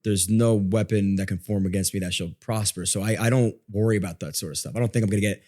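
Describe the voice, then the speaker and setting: Male podcast guest, slightly low register, inquisitive and conversational, explains himself as he talks. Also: fast talker, mellow.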